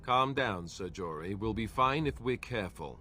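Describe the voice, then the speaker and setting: An actor playing a young male Grey Warden warrior, steady and dryly teasing. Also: on the low side, normal speed. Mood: wry calm. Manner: dry, teasing humor.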